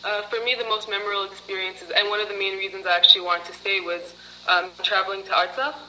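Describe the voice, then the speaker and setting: A woman's voice: mid-range voice, little pitch movement, fast talker, bright, forceful tone, slight background noise, rough recording. A woman as a program participant, answering interview questions in a chatty way, with many fillers and laughs.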